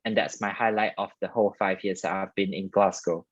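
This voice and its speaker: A man's voice: sits low, fairly even intonation, brisk, no echo, dull audio. A young man from Malaysia with a Malaysian accent, telling personal stories in a chatty, wordy way as a guest dentist in a career talk. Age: young adult.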